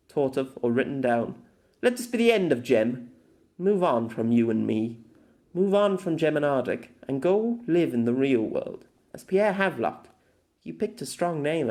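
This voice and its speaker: A man's voice: medium pitch, a little mellow, lightly acted. A man voicing a weary, lonely, long-lived empress in an audiobook, reading her farewell letter in a formal, wistful manner. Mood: tender, resolute. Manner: formal, wistful, measured with dramatic pauses. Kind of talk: scripted audiobook narration of a letter.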